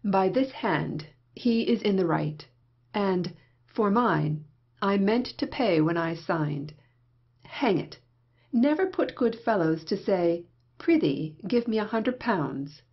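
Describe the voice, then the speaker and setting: A woman playing an easygoing, dry-humoured gentleman companion in a period comedy audio play, with a husky voice. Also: medium pitch, lively intonation, slow pace, full character voice. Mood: dry, teasing. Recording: dull, lo-fi audio.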